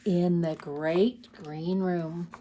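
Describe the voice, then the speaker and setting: A woman hosting a children's storytime, reading picture books aloud in an expressive, sing-song way and talking directly to young listeners. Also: lowish voice, drawn-out words.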